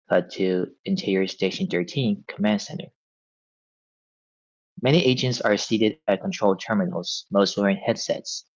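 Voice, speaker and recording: A man reading stage directions as narrator in a live table read, measured and descriptive. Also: lowish voice, no echo, highs cut off.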